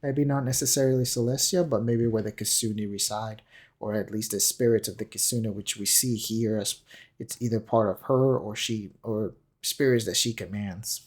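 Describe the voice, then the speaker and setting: A man's voice: fairly low pitch, fairly even intonation, moderate pace, darkish tone. A man giving unscripted, explanatory commentary on a video game, musing tentatively as he goes. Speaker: gaming commentator. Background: faint music.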